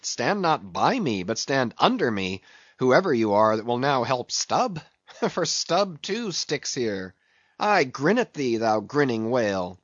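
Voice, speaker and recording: A man's voice: mid-range voice, very animated, slow. A man voicing a grimly jocular, defiant second mate with a wry, taunting manner in an audiobook. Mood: grim defiance. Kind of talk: dramatic audiobook character acting. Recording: roomy, slightly dull recording.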